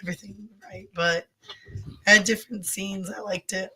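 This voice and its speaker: A podcast co-host speaking hoarsely after losing their voice, adding short, hesitant remarks in casual chat. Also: mid-range voice, fairly even intonation, normal speed, bright, forceful tone, low-quality recording.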